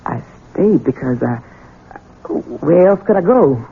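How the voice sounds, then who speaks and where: Husky, anxious housekeeper. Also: female voice, sits low, expressive, slow pace, heavily acted. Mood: anxious, distressed. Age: middle-aged. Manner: humble, folksy. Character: anxious, deferential older housekeeper, protective mother. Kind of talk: 1970s radio drama acting. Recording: tinny 1970s radio recording.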